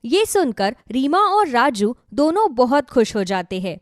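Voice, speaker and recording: A woman reads a scripted children's story as narrator. Her pitch is on the high side and swings expressively. The recording is dry. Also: sing-song, a little slow, no room sound.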